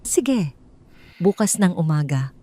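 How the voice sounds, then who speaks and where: A woman voicing a betrayed wife in an audio drama, controlled and guarded, speaking in a restrained, pointed, measured way. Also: on the low side, sing-song, dark tone, exaggerated voice. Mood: restrained, firm.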